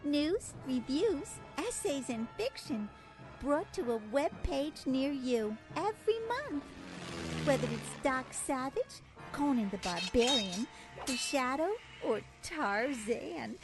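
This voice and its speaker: A woman's voice: sits high, sing-song, slow. A woman announcer reading a scripted advertisement for a pulp fiction podcast. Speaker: promo announcer. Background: loud music.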